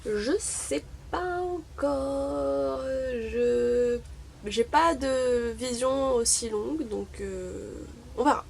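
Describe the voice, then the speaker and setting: A woman's voice: high voice, extremely slow, gravelly, a little hiss or hum. A young woman from Morocco, Arabic-speaking by birth, chatting wryly to camera with many hesitations. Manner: wry, chatty, full of hesitations. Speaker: vlogger. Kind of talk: casual chat to camera.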